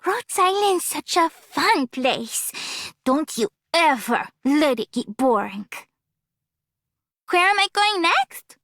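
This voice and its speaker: A mischievous young woman from a video game. Her voice is high and bright, slow and theatrical, full of playful teasing, recorded dry. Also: very animated, no echo, exaggerated voice. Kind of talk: video game character voice acting.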